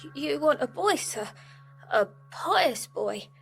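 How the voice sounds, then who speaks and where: A woman's voice: sits high, animated, slow, creaky, soft background music, exaggerated voice. A woman voicing a meek, pleading young boy, polite and deferential, in a period audio drama. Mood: pleading, nervous.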